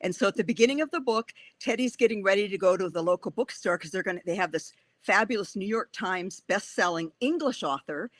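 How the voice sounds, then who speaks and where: A woman's voice: medium pitch, a little fast, few pauses, no echo. A woman in her sixties from Wisconsin, with a Wisconsin accent, chatting enthusiastically as an author guest at a bookstore talk. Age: 60s. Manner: chatty, enthusiastic, laughs often.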